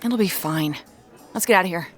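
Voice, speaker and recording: A woman's voice: lowish voice, a little fast, soft background music, lightly acted. A woman playing a determined, dryly frank amateur detective in a naturalistic audio drama. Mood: stubborn. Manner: dry, frank, stubborn. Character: determined amateur woman detective hunting her sister's killer.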